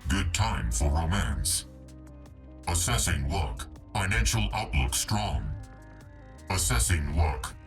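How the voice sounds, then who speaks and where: Blunt literal game robot, deadpan, gravelly, swooping pitch, processed machine voice. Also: male voice, slow, music in the background, exaggerated voice. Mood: mechanical, festive. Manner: deadpan, clipped machine-like announcements. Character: hamster hero's deadpan translator robot mech, blunt and literal. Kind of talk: video game character voice lines.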